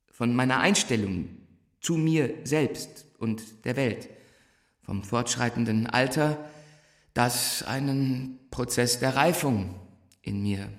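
An actor playing a gifted, fragile young teacher in a stage drama, declaiming with halting searches for words. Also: male voice, medium pitch, echoey room.

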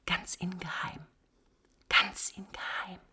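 A woman's voice: fairly low pitch, flat delivery, slow, bright, forceful tone, husky, heavily acted. A woman playing a proud, scorned countess in 1950s German radio drama, bitterly mocking and agitated, moving between whispers and outcries. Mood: secretive whispering. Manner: theatrical, swinging from whispers to outcries.

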